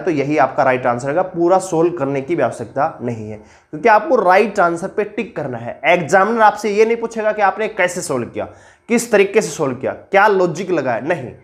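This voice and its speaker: A man teaching reasoning for an exam-prep class, with a sore throat. He puts questions to viewers and answers them himself in a lower, slower voice, explaining step by step. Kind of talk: online exam-prep lesson.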